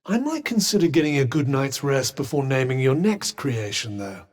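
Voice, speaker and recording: A British actor voicing a witty, haughty magical cuff in a video game, speaking in a dry, wordy, formal way. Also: male voice, medium pitch, sing-song, normal speed, long reverb tail. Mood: dry, sardonic.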